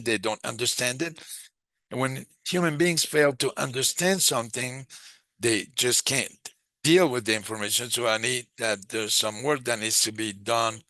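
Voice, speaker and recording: A man giving a live interpretation of a webinar discussion, speaking in halting, phrase-by-phrase chunks. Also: mid-range voice, pushed and bright, no echo. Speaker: simultaneous interpreter.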